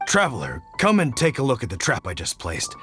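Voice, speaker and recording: A man's voice: medium pitch, wildly swooping pitch, fairly quick, bright, forceful tone. A man voicing a kindly, protective middle-aged hunter father in a video game, with a folksy, thoughtful, avuncular manner. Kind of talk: anime-style video game voice acting. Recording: faint music behind the voice.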